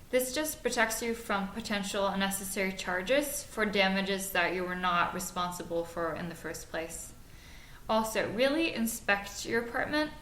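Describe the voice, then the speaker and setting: A woman in her twenties from Norway, co-hosting a podcast and giving practical advice in a measured way with frequent fillers. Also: mid-range voice, fairly bright tone. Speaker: podcast co-host. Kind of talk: scripted podcast chat. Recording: echoey room, faint noise.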